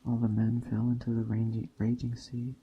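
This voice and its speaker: Guy reading a greek myth out loud, super monotone, creaky relaxed voice, bit of ambient noise behind. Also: medium pitch, moderate pace, dull audio. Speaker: storyteller.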